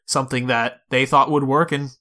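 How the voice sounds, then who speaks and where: Guy podcast co-host, really bright forward voice, chatting games and reasoning it out casually. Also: mid-range voice, level tone, a little fast. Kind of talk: casual video game discussion.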